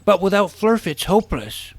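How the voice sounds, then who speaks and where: A man's voice: high-pitched, sing-song, moderate pace, full character voice. A man playing an eager boy adventurer who tells his fantasy story in a florid, wry way, with acted dialogue. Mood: excited, distressed. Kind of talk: scripted first-person story narration with acted dialogue. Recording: music under the voice. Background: music.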